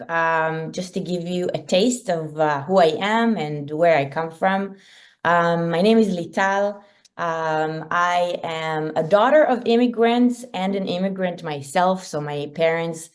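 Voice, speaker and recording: A middle-aged woman with an Israeli accent tells her own story in an online talk. Her voice sits on the low side for a woman. She speaks earnestly and pauses often with ums and uhs. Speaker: company founder and guest speaker. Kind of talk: webinar talk.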